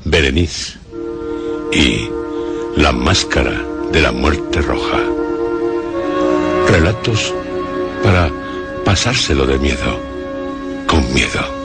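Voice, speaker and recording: A man with an accent from Spain, narrating scripted horror radio as a host, dramatic and suspenseful, with deliberate long pauses. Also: a bass voice, animated, gravelly. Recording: music bed under the voice. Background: music.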